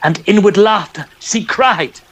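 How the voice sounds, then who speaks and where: A man's voice: high-pitched, unhurried, a bit bright. A man narrating a poem in a theatrical old-style radio storytelling manner, with varied emphasis. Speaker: podcast narrator.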